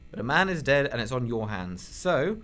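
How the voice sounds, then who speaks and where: An actor playing a weary police detective who interrogates a suspect in a blunt, sardonic way, in an amateur radio drama. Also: male voice, mid-range voice, very animated, moderate pace, a bit bright. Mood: pressing.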